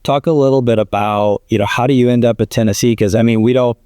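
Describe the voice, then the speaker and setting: A man's voice: medium pitch, fairly even intonation, fast, dark tone, clean tone, dry recording, studio quality. A man hosting a business podcast, asking his guest questions in an upbeat, conversational way. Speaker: podcast host. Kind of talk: interview conversation.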